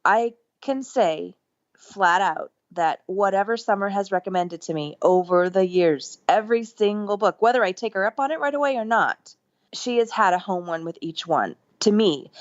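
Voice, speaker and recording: A middle-aged woman, a podcast guest, telling a story in an enthusiastic, rambling way, with lively jumps in pitch. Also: no echo. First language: English. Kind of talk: casual storytelling interview. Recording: dull lo-fi remote line.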